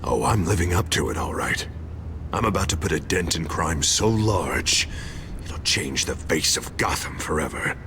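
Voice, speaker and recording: An actor playing a self-righteous, menacing and unstable city mayor, with a gravelly voice and grandiose delivery in a video game drama. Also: male voice, extremely low pitch, animated, moderate pace, background noise. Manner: grandiose, forceful declarations.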